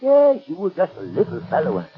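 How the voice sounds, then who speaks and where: Gloating, unhinged zookeeper, swooping pitch. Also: male voice, wildly swooping pitch, brisk. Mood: fond, amused. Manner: chuckling, crooning, boastful. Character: gloating, unhinged male keeper talking fondly to his gorilla. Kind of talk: 1930s radio drama acting. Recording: muffled, noisy 1930s radio recording.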